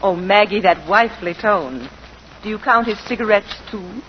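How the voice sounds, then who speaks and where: A woman's voice: medium pitch, moderate pace, natural voice. An actress playing a worldly, teasing woman pianist in a 1940s radio drama, breezy and arch with mocking edges. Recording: muffled, noisy 1940s radio broadcast.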